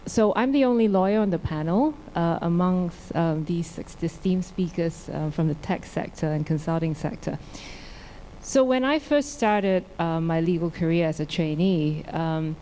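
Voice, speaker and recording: Low-voiced woman lawyer from Singapore. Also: slight background noise, poor audio. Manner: warm, measured, with many fillers. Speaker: lawyer on a panel. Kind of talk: panel discussion. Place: large conference stage. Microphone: handheld mic.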